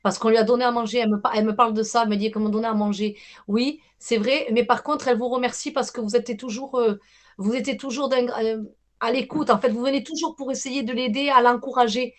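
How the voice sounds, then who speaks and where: Woman, medium on a video call, higher voice, hesitant, repeats phrases, reassuring. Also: fairly even intonation, fast. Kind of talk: live medium reading with callers.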